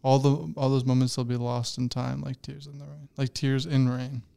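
Young male co-host, mid-pitched voice, playful and teasing. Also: little pitch movement, moderate pace, gravelly, dark tone. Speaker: podcast co-host. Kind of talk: casual chat.

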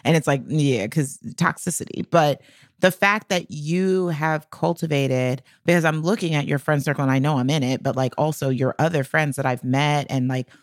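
A woman's voice: deep voice, normal speed, dry recording, clean studio sound. A woman co-hosting a podcast in casual, candid conversation, speaking emphatically and bluntly with some salty language. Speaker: podcast co-host.

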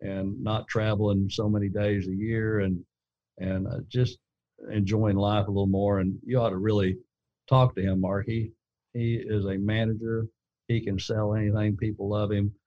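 A man who leads a gun company, telling his story in a casual interview with a deep, hollow-sounding voice and a rambling way of talking full of restarts. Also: flat delivery, normal speed, dry recording, dull audio.

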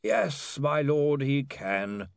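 A man voicing a gloomy, reluctant legal adviser, deferential and measured, in an audiobook. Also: mid-range voice, very animated, vocal fry, no room sound, studio quality, voice pushed up, heavily acted.

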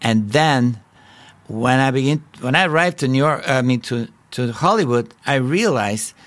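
A middle-aged man from Bulgaria with a Bulgarian accent, telling his personal story as a podcast host in an earnest, halting way with frequent restarts. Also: mid-range voice, lively intonation, a bit bright, no echo. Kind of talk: personal testimony.

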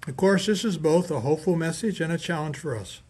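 A man reading a scripted scripture reflection as a priest, measured and deliberate, with emphatic pauses. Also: a little high, a little mellow, slightly rough. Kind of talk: scripted sermon reflection read aloud.

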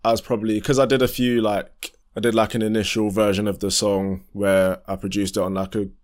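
A young man, a musician chatting casually in an interview, relaxed and full of fillers and restarts. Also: fairly quick. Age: young adult. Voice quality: deep voice. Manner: relaxed, rambling, many fillers and restarts, laughs often. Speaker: independent musician guest.

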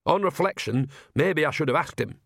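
A man's voice: fairly high pitch, animated, normal speed, fairly bright tone, slightly rough, no echo, clean studio sound, voice pushed up. A man voicing a wrinkled, bossy old village witch woman in an audiobook, folksy, blunt and colloquial. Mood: brisk, knowing. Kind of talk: audiobook character voice.

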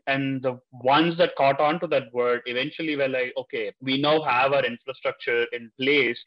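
A man co-hosting an interview, asking long questions that he builds around worked examples. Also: medium pitch. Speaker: podcast co-host. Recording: dull lo-fi audio.

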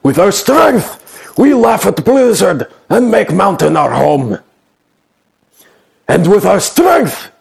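A man voicing a huge, proud goliath warrior who speaks in clipped, broken sentences and chants and booms his words. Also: expressive, slow pace, vocal fry, few pauses, exaggerated voice. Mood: chanting. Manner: booming, chanting, clipped grammar.

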